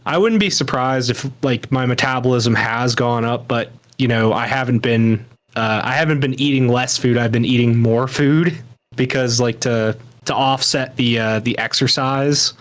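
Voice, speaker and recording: A man in his late twenties, co-hosting a podcast, telling stories frankly in a halting way full of restarts. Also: mid-range voice. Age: late 20s. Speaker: podcast co-host. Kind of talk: casual chat.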